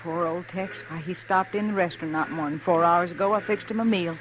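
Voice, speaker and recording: Faint hiss of an old radio broadcast surrounds an actress playing a kindly frontier woman. She speaks earnestly and gently, devout in tone, in a 1950s western drama. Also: lowish voice, a little fast, lightly acted. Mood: concerned.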